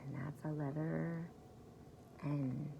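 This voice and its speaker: Slow, low-pitched woman reading to young children. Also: fairly even intonation, creaky, muffled recording, noisy background. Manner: simple, deliberate teaching, repeats key words. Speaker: pre-K teacher reading aloud. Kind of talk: children's story time read-aloud.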